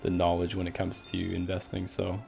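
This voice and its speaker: Laid-back young Canadian streamer, deep, gravelly voice, faint music underneath. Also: male voice, monotone, moderate pace. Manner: laid-back, reads chat aloud, many fillers. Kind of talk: casual live-stream chat while gaming.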